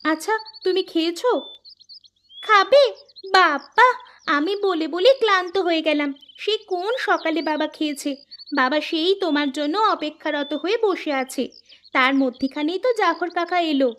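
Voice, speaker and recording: A woman's voice: very high voice, unhurried, fairly bright tone, slight background noise. A woman voicing a caring, bossy young village girl in a cartoon, with an insistent, exclamatory delivery. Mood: scolding concern. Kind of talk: Bengali cartoon voice acting. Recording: music prominent behind the voice.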